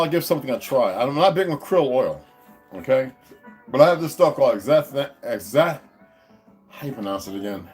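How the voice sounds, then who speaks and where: A man's voice: darkish tone, slightly rough. Rambling man vlogging at home. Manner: meandering, restarts, talks to his dogs in a high coaxing voice. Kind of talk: rambling casual vlog to viewers. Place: indoors at home.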